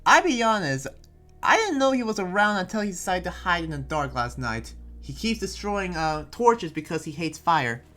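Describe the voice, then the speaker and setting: A man playing an uneasy, apologetic town guardian in an improvised anime game roleplay, explaining in a halting, hedging way. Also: high-pitched, big pitch swings, pushed and bright, heavily acted.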